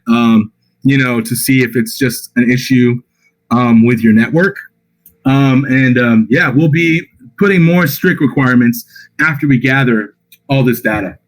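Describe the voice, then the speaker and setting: Tired, slightly raspy man answering questions. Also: medium pitch, rough recording. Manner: relaxed, reads out questions and answers them with many fillers. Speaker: project representative answering community questions. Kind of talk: casual Q&A on a voice chat.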